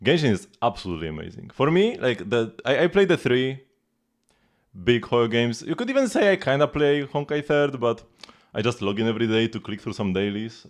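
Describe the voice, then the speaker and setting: A man chatting casually as he streams a game, rambling and repeating phrases as he goes. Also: on the low side. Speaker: live streamer. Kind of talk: casual livestream chat and gameplay commentary. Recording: music playing behind the voice.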